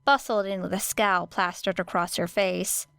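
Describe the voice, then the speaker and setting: A woman's voice: fairly low pitch, steady tone, normal speed, gravelly, a bit bright, clear, resonant tone, dry recording. A woman reading scripted story narration as an audiobook narrator.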